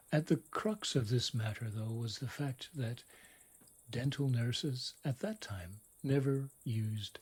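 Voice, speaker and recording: Husky, mellow male storyteller. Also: mid-range voice. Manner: wry, dramatic, deliberate delivery. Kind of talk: bedtime storytelling, scripted personal narrative.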